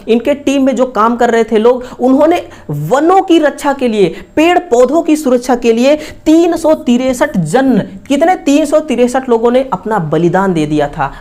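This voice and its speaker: High-pitched Indian woman teaching an exam lesson. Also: a little mellow. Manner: repeats key facts as rhetorical questions, frequent checking tags. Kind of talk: exam question analysis lesson.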